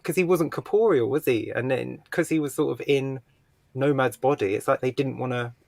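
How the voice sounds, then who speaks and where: A man's voice: mid-range voice, moderate pace, gravelly, darkish tone, no echo. A man casually discussing comic books as a podcast host, blunt and opinionated. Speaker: podcast book club host.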